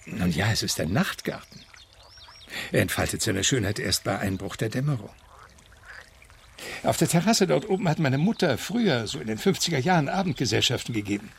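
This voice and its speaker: Creaky-voiced, wistful aging gentleman. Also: medium pitch, big pitch swings, brisk, heavily acted. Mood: gently enchanted. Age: middle-aged. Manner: cultivated, reminiscing, wordy. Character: wistful, refined ailing English gentleman in his late fifties. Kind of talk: German radio play acting.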